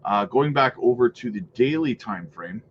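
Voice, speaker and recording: A man's voice: medium pitch, lively intonation, normal speed, lo-fi sound, slight background noise. A man with a stuffy cold hosting a live crypto stream, walking through price charts and greeting viewers in an upbeat way, often tagging "right?" and "man". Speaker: crypto livestream host. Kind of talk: live chart analysis and chat shout-outs.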